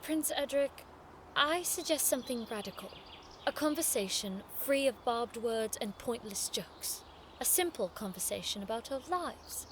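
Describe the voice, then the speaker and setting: An actress playing a prim, sharp-tongued young noblewoman in an audio drama, formal, arch and sarcastic. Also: a little high, expressive, a little slow, a little hiss or hum. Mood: exasperated.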